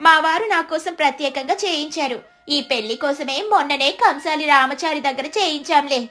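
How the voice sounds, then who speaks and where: A woman's voice: sits high, brisk, bright, forceful tone. A woman voicing an eager, vain village wife in a dramatic storybook tale. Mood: boastful.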